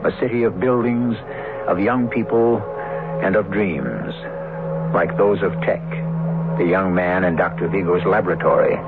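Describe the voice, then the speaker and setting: A man's voice: low-pitched, steady tone, moderate pace, narrow, tinny audio. A man hosting and narrating a radio drama in a theatrical, solemn scripted style. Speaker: host and narrator. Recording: old radio, thin, music behind.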